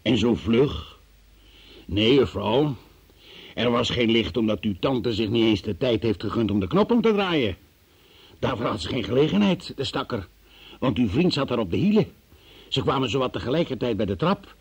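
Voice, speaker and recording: Husky, insistent police investigator. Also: male voice, medium pitch, expressive, moderate pace. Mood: probing, sardonic. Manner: formal, insistent, theatrical. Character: probing, forceful male police investigator interrogating a suspect. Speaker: actor in a radio play. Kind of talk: Dutch radio drama acting.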